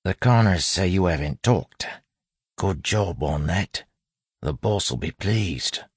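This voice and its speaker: A man voicing a sly impostor posing as a street-tough gang mate, hushed, slangy and conspiratorial, in an audiobook. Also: lowish voice, big pitch swings, takes it slowly, slightly rough, dry recording, clean studio sound, pitched above the performer's own voice, heavily acted. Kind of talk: audiobook character acting.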